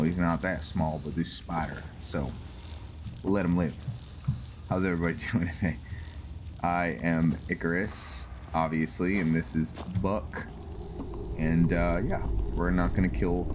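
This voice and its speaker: A man's voice: low-pitched, noisy background. A man giving casual game commentary as a host, rambling and thinking aloud over his play. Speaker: host of a gaming roleplay series. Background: background music.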